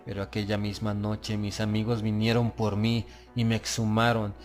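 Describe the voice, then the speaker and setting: A man reads a gothic horror audiobook in a solemn, literary first-person style. His delivery is measured and deliberate. Prominent music plays underneath the whole reading. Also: fairly low pitch, completely flat delivery. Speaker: audiobook narrator.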